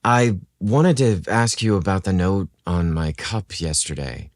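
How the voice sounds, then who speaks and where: A man's voice: sits low, measured pace, no echo. Bashful, anxious male writer played by a male narrator, audio story: faltering delivery, dry sound. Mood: nervous. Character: shy, flustered male romance writer, anxious and smitten.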